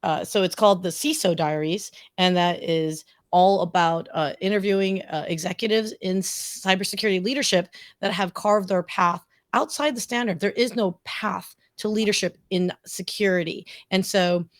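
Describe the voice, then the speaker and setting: A woman's voice: mid-range voice, steady tone, moderate pace, hardly any pauses, no room sound. Chatty, effusive woman, close dry sound. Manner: effusive, informal, self-deprecating, tangential. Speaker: podcast guest, podcaster and producer. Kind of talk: casual, chatty interview answers.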